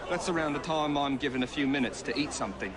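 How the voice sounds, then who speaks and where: An actor playing a plain, matter-of-fact labourer, giving witness dialogue in a game. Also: male voice, medium pitch, fast, close to an everyday voice. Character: plain-spoken male labourer, resentful but cooperative witness. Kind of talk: acted video game cutscene dialogue. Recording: very noisy outdoor crowd ambience.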